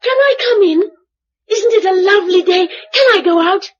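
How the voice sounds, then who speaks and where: Very high-voiced, plucky British little girl. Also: normal speed. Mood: eager, matter-of-fact. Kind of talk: British radio drama acting. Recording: dull, faintly noisy old radio recording.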